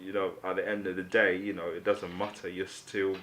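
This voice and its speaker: A man's voice: deep voice, little pitch movement, a little fast, a bit bright, slight background noise. A man from Uganda co-hosting a casual, opinionated discussion, explaining his views conversationally with frequent "you know". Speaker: talk show co-host.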